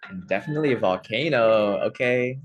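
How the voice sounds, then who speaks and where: Brief: woman webinar host with a very low-pitched voice, upbeat and chatty, over a computer mic on a video call. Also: animated, a little fast, breathy edge, highs cut off. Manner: upbeat, friendly, many fillers. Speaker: webinar host, community education manager. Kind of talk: hosting an online webinar.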